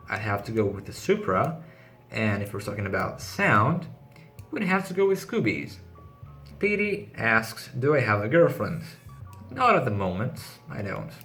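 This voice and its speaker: A man's voice: on the low side, a bit bright. A man with a Greek accent reading out questions and answering them briefly and matter-of-factly in a casual vlog. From Greece. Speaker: car channel host. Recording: music playing behind the voice. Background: background music.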